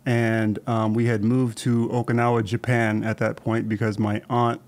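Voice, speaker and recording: A man in his forties with a mid-range voice talks in an unhurried, reflective way, often pausing to restart a thought. He sits at a desk mic in a podcast studio. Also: mid-range voice, level tone, a little mellow. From born in Thailand, raised in Southern California. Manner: measured, thoughtful, recalls details with small restarts. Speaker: guest. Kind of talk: relaxed conversational storytelling. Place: podcast studio with shelves and plants. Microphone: studio desk mic with headphones.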